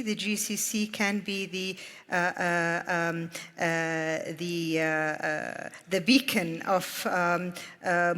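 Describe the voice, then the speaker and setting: Female panelist, mid-pitched, hesitant, hall reverb. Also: fairly bright tone. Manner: halting, many fillers. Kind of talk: panel discussion.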